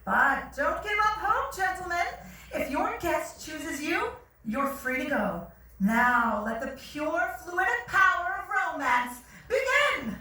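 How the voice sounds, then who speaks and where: A woman's voice: extremely high pitch, expressive, slow pace, bright, forceful tone, slight background noise, heavily acted. A woman on stage playing a grand, mock-gracious and commanding speed-dating hostess, heard from far off in a very echoey theatre. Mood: smugly commanding. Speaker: actor in a staged reading. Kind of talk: theatrical announcement to a room. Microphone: far audience camera. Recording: very echoey theatre, distant mic.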